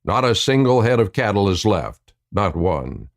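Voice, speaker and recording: A man's voice: fairly low pitch, sing-song, measured pace. A man narrating a scripted western radio play, telling the story in the present tense.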